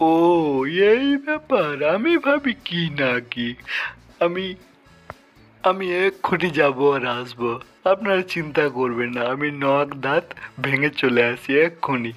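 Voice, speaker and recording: Lovesick cartoon tiger played by a man: gullible, exclamatory, fairly high voice, light music underneath. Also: very animated, unhurried, fairly bright tone. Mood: eager. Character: lovesick, gullible male tiger looking for a bride. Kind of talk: Bengali children's folktale cartoon acting.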